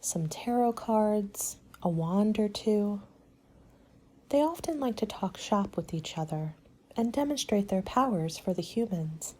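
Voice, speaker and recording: Soft, mellow woman in an ASMR roleplay. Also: medium pitch, expressive, measured pace. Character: helpful, attentive monster party planner. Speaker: roleplay performer as a party planning agent.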